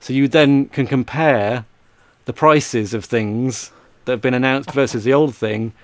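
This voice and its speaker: A man's voice: mid-range voice, measured pace, no echo. A man in his thirties explaining things in a casual tech chat, speaking into a close mic in a small room. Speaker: podcast panellist. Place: small home room with shelves.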